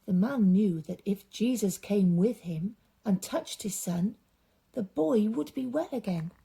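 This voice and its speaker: A woman reading a storybook aloud for young children, with dramatic emphasis and drawn-out repeated words. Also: mid-range voice, expressive, vocal fry, warm tone, slightly rough.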